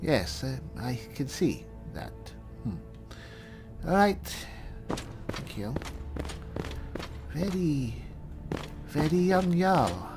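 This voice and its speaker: A man voicing a polite, bumbling cleric adventurer in in-character gameplay commentary, halting and musing. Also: a little high, big pitch swings, over music, exaggerated voice. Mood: pensive. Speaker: roleplaying game player.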